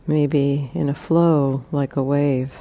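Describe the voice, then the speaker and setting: A woman leading a guided meditation as a teacher, speaking gently in short, spaced phrases with long pauses. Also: low-pitched, fairly even intonation, lo-fi sound.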